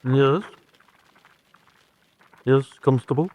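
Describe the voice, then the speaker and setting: An actor playing a dutiful gentleman's manservant, formal and deferential with a droll streak, in an old-fashioned radio drama. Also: medium pitch, very slow, very noisy. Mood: courteous. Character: dutiful, dryly gossipy British gentleman's manservant.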